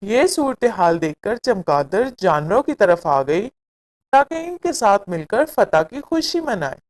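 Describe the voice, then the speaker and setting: Slow, expressive woman storyteller. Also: medium pitch. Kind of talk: scripted moral story narration for children.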